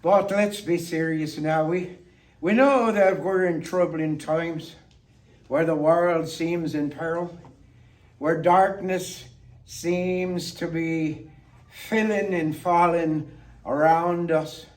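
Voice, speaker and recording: Guy doing a stagey toast monologue, kinda tipsy and playful, really slow and pausey, mic is far away. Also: on the high side, fairly even intonation, a little mellow. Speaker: monologue performer. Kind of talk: theatrical toast-making monologue. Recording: distant microphone.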